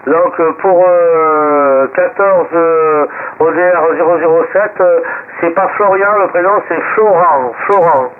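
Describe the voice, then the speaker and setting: A man speaking haltingly and carefully as a radio operator, in casual chat over a radio transmission. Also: a little high. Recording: tinny narrow radio link, faint noise.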